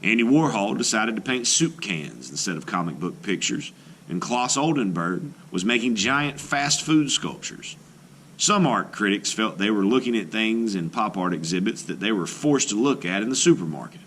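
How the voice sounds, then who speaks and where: A man reading a children's book aloud as an art teacher, in an expressive storytelling style with deliberate pauses. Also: fairly low pitch, slight background noise. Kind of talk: scripted narration, children's read-aloud.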